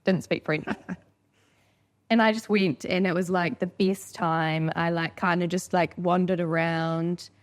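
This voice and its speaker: A woman's voice: lowish voice, fairly even intonation, a little slow. A woman from New Zealand with a New Zealand accent, chatting informally and in a rambling, anecdotal way as an interview host. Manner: informal, rambling, acts out quoted dialogue. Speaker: podcast host. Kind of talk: casual chat, anecdotal.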